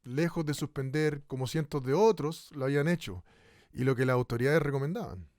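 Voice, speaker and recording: A man's voice: medium pitch, fairly quick, a little mellow, no room sound, natural voice. A man with a Chilean accent playing an earnest, formal radio host in a studio radio drama set in a bleak future. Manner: solemn, formal, speaks of hope. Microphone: studio microphone.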